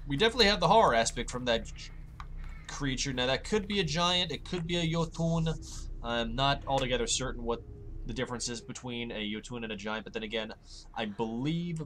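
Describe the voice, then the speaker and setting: A man with a slightly hoarse voice, rambling and joking at his own expense as he narrates playing a game. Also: mid-range voice, a bit bright, slight background noise. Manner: rambling, self-mocking, chatty asides. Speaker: gaming channel host. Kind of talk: casual let's-play commentary. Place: home, late at night.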